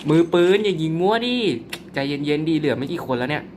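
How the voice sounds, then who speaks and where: Excitable young man commentating a phone game. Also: on the high side, fast talker, noisy background. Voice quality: excitable, chatty, polite with frequent slips into swearing and laughter. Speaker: gaming YouTuber. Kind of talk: live mobile game commentary.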